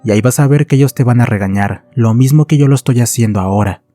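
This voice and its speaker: A man's voice: mid-range voice, fast, mellow, faint music behind, natural voice. A man voicing a worried teenage son, earnestly reproaching his mother in a horror story. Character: worried sixteen-year-old son scolding his mother.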